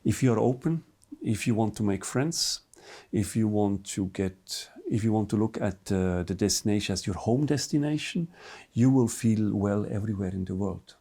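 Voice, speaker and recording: Middle-aged swiss guy, swiss german accent, gravelly low voice, kinda halting, lots of uh. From Switzerland, near Bern. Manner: relaxed, halting, many fillers and restarts. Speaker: hotel manager, guest. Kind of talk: interview answers in English.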